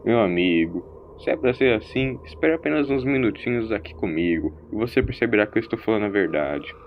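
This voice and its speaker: A man's voice: on the low side, lively intonation, brisk, lo-fi sound, slight background noise. A man voicing a mysterious, eccentric vagabond in an audio story, drawling slangy words in a theatrical, teasing way. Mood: sly, amused. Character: mysterious eccentric wandering vagabond, teasing, preachy, ancient but young-sounding.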